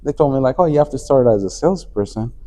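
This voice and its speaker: A man's voice: mid-range voice, expressive, fast talker, mellow. A man from Afghanistan, a company CEO, talking as a guest in a casual interview, with many fillers and restarts. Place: conference venue.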